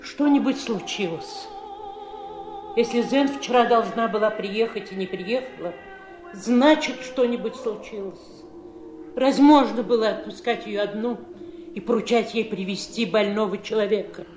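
An actress playing an anxious, weary, outspoken housemaid in a radio drama, plaintive and emotive. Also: female voice, medium pitch, normal speed, darkish tone, close to an everyday voice. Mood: subdued. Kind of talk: theatrical radio drama acting. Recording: echoey room over music.

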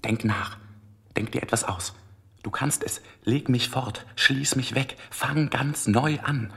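An actor playing an insistent, scornful ventriloquist's dummy, coaxing with raspy, slow, goading repetition in a radio drama. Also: medium pitch, sharp tone, full character voice. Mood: urgent coaxing. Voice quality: raspy, creaky.

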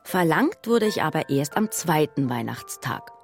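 A woman's voice: low-pitched, sing-song, unhurried, vocal fry, soft background music, exaggerated voice. A woman acting as the narrator of a radio play, telling a mystery from her childhood. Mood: reflective.

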